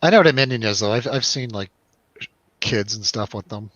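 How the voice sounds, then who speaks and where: A man's voice: fairly low pitch, fairly quick, fairly bright tone, no echo, highs cut off. A man hosting a casual film review chat, rambling along with wry joking. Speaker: host of a film review show.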